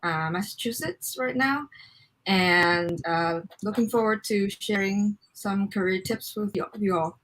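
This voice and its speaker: A woman from Hong Kong speaks as a panelist. Her voice sits at a middle pitch and her style is casual. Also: a little slow, a bit bright, poor audio. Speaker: panelist, head of product design. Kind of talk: casual self-introduction.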